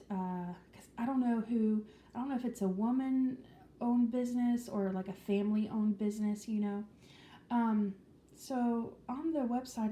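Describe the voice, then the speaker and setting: A woman talks casually to her viewers, wandering through her thoughts with plenty of ums, restarts and the odd whisper. Her voice is very dark and mellow, at a middling pitch for a woman. It is recorded in an echoey room with a faint background hiss. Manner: chatty, rambling, frequent ums and restarts; drops to a whisper at times. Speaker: vlogger. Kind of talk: casual vlog chat.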